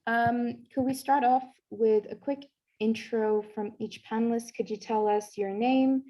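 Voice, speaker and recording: A woman's voice: a little high, fairly even intonation, unhurried, warm tone, low-quality recording. A young woman moderating an online panel, hosting and putting questions to panelists.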